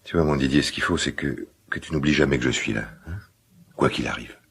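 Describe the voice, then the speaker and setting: A guilt-ridden middle-aged father in a naturalistic radio drama. His voice is extremely deep, full of creak and very husky. He confides haltingly, with an awkward tenderness. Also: fairly bright tone, heavily acted. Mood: pained, tender. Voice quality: gravelly, husky smoker's voice.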